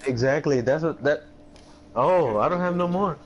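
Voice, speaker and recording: A man's voice: fairly high pitch, moderate pace, crowd noise. A young man playing a team video game, making casual, repetitive callouts to his teammates over voice chat. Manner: repeats short callouts. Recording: dull, noisy game voice chat.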